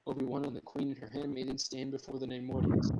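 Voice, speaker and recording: A man's voice: mid-range voice, monotone, quick pace, gravelly, warm tone, lo-fi sound. A man reading screenplay directions aloud as the narrator of a group script reading.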